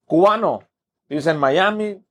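Husky-voiced podcast host. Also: male voice, high voice, slow. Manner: rambling, stumbles over restarts. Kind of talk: interview questions.

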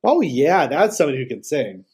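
A man's voice: on the high side, very animated, a little fast, darkish tone, breathy edge, dry recording, clean studio sound. A man chatting as a guest on a sports podcast, enthusiastic and repeating himself. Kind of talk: casual chat.

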